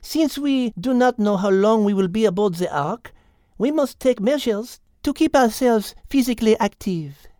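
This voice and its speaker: Lady doing a prim little french kitty for a kids story, high voice, very dry sound. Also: expressive, moderate pace, mellow, no echo, natural voice. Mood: earnest, organizing. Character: dainty, orderly, clever female French cat. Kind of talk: character acting for a children's story podcast.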